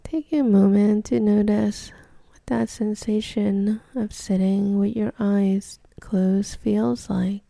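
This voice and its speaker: A woman leading a guided meditation in a gentle, soothing, breathy near-whisper with long pauses. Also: mid-range voice, steady tone, no room sound.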